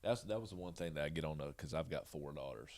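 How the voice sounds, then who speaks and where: A middle-aged man with a deep, booming voice and a Southern Georgia accent, rambling through stories with chuckles and fillers as a podcast guest. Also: fast, darkish tone. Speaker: podcast guest, jiu jitsu coach. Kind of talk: casual interview storytelling.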